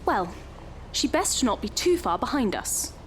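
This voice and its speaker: A haughty young Victorian daughter. She is affected and bossy, her highish voice swinging expressively over faint background ambience in an audio drama. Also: very animated, a little slow, fairly bright tone, slight background noise. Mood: bossy, snobbish.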